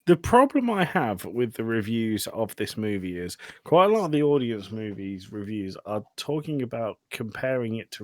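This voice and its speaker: A man's voice: lowish voice, no room sound, studio quality. A man hosting a podcast, chatting casually and jokingly about films. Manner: joking, conversational. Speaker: podcast host. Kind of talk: casual film discussion.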